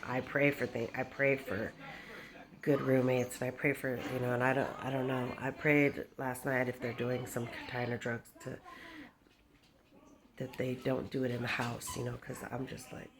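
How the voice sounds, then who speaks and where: Low-voiced chatty woman in her fifties. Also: little pitch movement, moderate pace, crowd noise. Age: 50s. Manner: chatty, laughs often, drifts between stories, baby-talks to her dog. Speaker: vlogger. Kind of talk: rambling casual chat to camera.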